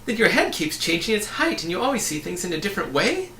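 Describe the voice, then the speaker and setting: Man as a breezy, bright, high-pitched know-it-all boy. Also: big pitch swings, fast, reverberant, far from the mic, a little hiss or hum, exaggerated voice. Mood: self-assured. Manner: chatty, matter-of-fact, emphatic explaining. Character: breezy, opinionated young boy who floats in mid-air.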